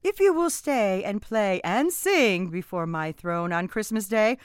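A woman's voice: medium pitch, animated, unhurried, clean tone, no room sound, voice pushed up, natural voice. Lady doing a grand storybook queen, coaxing and formal, really swoopy melodic voice, dry recording. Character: regal queen, eager and coaxing, storybook ruler.

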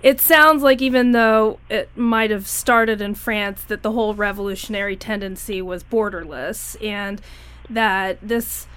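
A woman's voice: mid-range voice, fairly even intonation, a little slow, fairly bright tone, smooth. A woman on a panel, speaking casually and hedging as she thinks aloud. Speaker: panel guest.

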